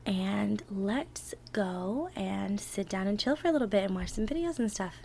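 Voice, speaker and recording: A woman playing an affectionate, excitable girlfriend in a casual ASMR roleplay, chatting encouragingly with soft murmured asides as she teaches baking. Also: medium pitch, normal speed, vocal fry, slight background noise. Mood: soft, focused. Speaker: audio roleplay performer.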